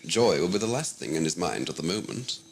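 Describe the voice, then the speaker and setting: A man playing a smug, condescending alien schemer in an audio drama, measured and theatrical. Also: low voice, animated, slight background noise. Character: scheming, suave, condescending male renegade Time Lord villain.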